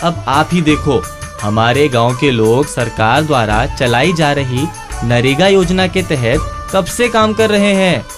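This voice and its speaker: Man acting slow-talking, cheerful villager. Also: a little high, natural voice. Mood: encouraging. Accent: rustic north Indian village speech. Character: cheerful, encouraging blind villager and family friend. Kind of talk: Hindi radio drama acting. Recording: music bed behind the voice.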